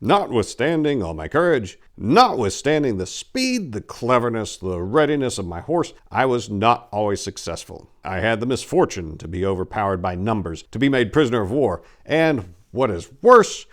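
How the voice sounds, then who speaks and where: A man voicing a boastful gentleman adventurer baron, telling tall tales in a grandiose, dramatic storybook reading. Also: on the low side, big pitch swings, moderate pace, few pauses. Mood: grandiose, earnest.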